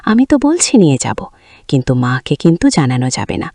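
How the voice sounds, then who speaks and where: A woman's voice: low voice, sing-song, brisk, dark tone, dry recording, full character voice. A woman voicing an indulgent father in a family story, coaxing and reassuring his only daughter. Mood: placating.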